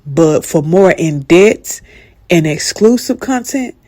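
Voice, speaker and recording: Woman doing a promo read, low mellow voice, dry close sound. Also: animated, takes it slowly, dry recording. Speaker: promo narrator.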